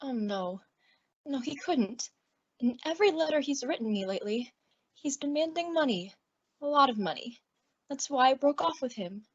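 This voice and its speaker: Demure hurt young wife, high breathy voice, ladylike, dull old-time radio. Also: animated, normal speed, long pauses, heavily acted. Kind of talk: 1950s radio comedy acting.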